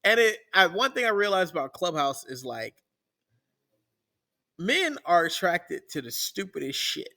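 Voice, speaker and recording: Animated bright-voiced man ranting. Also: high-pitched, measured pace. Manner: rambling, emphatic storytelling. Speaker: podcast co-host. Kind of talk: casual crude banter.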